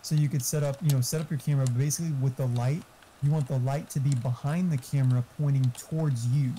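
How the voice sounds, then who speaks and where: A man's voice: medium pitch, fairly even intonation, fast, warm tone, a little hiss or hum, low-quality recording. A man chatting casually and giving tech advice in a blunt, explanatory, sweary way. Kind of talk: casual chat. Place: online voice chat.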